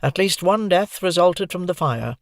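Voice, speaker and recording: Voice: medium pitch, animated, normal speed, dry recording, studio quality, pitched above the performer's own voice, close to an everyday voice. A narrator as a formal TV reporter. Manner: formal broadcast delivery. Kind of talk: audiobook character voice.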